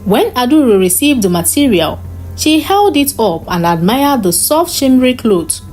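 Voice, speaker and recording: Higher-pitched woman narrating a dramatic story. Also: very animated, normal speed, darkish tone, faint music behind, poor audio. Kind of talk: scripted dramatic folktale narration.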